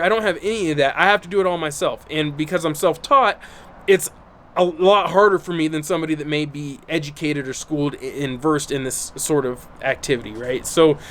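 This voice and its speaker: A man's voice: a little high, fairly bright tone. A man hosting his own channel, talking casually to the camera outdoors, sounding stuffy and congested, and often checking in with "right?" and "okay?". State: stuffy, congested from burnt lungs. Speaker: YouTube channel host. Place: outdoors in bright sun.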